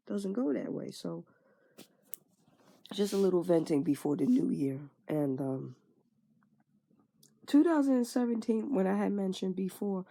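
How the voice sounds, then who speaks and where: Young woman, candid vlog venting, frank and casual, gravelly low voice, husky. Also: mellow. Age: 20s. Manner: frank and conversational, swears casually, trails off with fillers. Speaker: vlogger. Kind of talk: candid personal venting monologue.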